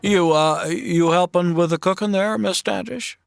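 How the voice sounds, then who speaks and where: A performer voicing an easygoing, soft-spoken male cowboy drifter in a comedy audio drama, hesitating with frequent "uh"s. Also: mid-range voice, normal speed, creaky, no echo, clean studio sound, close to an everyday voice. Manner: hesitant, full of "uh"s.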